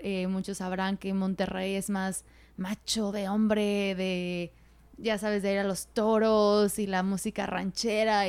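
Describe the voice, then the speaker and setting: A young woman hosting a podcast conversation, talkative and easygoing, who fills her sentences with little fillers and laughs often. Her voice comes through smooth and clean. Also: mid-range voice, a little mellow. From Mexico, Morelos. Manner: chatty, filler-heavy, laughs easily. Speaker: podcast host. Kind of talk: casual interview chat.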